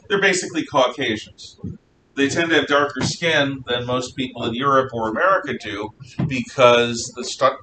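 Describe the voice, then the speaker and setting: A man lecturing a class as a history teacher, conversational, animated and emphatic in his explanations. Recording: noisy rumbling room, distant mic.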